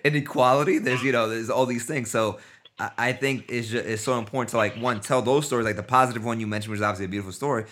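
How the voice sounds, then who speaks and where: A man hosting a casual interview podcast, relaxed and talkative, swearing freely with lots of filler words. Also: medium pitch, quick pace. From Dominican family, lives in Costa Rica. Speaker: podcast host.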